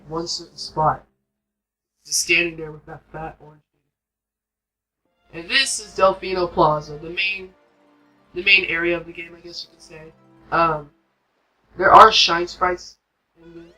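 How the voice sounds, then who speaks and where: A man's voice: a little high, bright, forceful tone, poor audio. A man hosting a let's play, giving casual, rambling, informal commentary as he plays a video game. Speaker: gaming video host. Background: game music.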